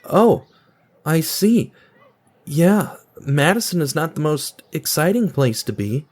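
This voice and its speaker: A man's voice: on the high side, sing-song, slow, darkish tone, heavily acted. A man playing a bland, awkward guy in his twenties in an audio drama, with a hesitant, earnest manner. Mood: tentative. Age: 20s.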